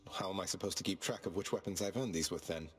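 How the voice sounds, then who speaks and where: An actor playing a wry, determined young underworld prince in a video game, dry and polite in manner. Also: male voice, low-pitched, quick pace, breathy edge. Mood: casual. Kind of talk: scripted video game voice acting.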